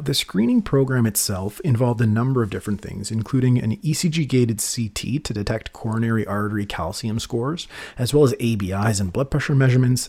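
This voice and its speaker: A man's voice: medium pitch, breathy edge. A man, a physician, explaining research papers in a casual podcast chat, peppered with "you know". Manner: lively, explanatory, many you-knows. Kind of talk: casual medical journal discussion.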